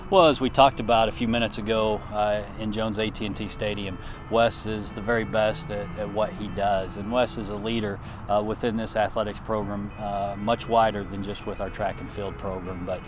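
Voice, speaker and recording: A man's voice: fairly low pitch, flat delivery, noisy background. A middle-aged man, an athletics director, answering interview questions outdoors at a ballpark in an earnest, praising way. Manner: earnest, praising, measured with fillers. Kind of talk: on-camera interview. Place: outdoors at a baseball field. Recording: music bed underneath. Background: music.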